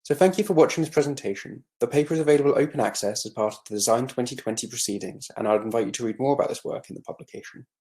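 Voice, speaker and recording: Fast-talking man with a dark voice. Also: mid-range voice. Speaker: conference presenter. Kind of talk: prerecorded conference talk.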